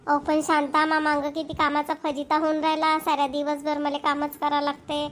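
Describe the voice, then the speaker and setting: Cartoon mother-in-law, older, female performer, high voice, Vidarbha Marathi accent, forever nagging and feeling sorry for herself. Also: monotone, brisk, fairly bright tone. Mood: complaining. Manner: nagging, complaining. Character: grumbling, self-pitying older mother-in-law. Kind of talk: amateur cartoon dialogue.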